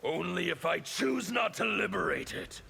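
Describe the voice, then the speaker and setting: Gravelly, fanatical rebel warrior, sharp and theatrical. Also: male voice, high voice, expressive, moderate pace, full character voice. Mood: menacing, bitter. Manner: theatrical, menacing, declamatory. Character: fanatical scarred revolutionary warrior, seething, defiant, zealous. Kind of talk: video game character dialogue.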